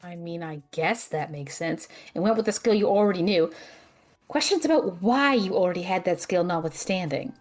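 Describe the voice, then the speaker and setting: Woman doing snarky young student girl, audio drama, blunt and exasperated, really animated intonation. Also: on the low side, normal speed, soft background music, lightly acted. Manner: deadpan, sarcastic, exasperated. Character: sardonic, blunt young magic student, quick to threaten friends. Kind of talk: audio drama character banter.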